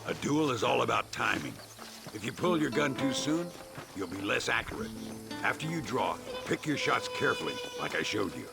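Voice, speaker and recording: Gravelly old gunslinger. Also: male voice, mid-range voice, big pitch swings, normal speed, music in the background. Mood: instructive. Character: genial, unflappable old gunslinger gambler, courteous and wry. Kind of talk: video game cutscene acting.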